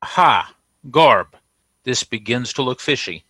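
An actor playing a pompous, flustered dealer in an old radio comedy, speaking in florid, formal phrases. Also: male voice, mid-range voice, lively intonation, takes it slowly, pushed and bright, no room sound, exaggerated voice. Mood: suspicious. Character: pompous, flustered male trophy dealer defending his goods. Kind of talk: 1940s radio comedy acting.

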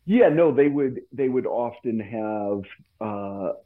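A man chatting casually about old radio in a halting way, often repeating himself. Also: mid-range voice, lively intonation, a crowd in the background. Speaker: radio show host. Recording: phone-like thin sound.

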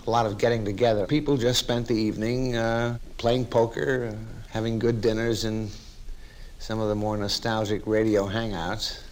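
A man's voice: lowish voice, flat delivery, normal speed. An older man, a radio actor, telling easygoing anecdotes in a casual interview.

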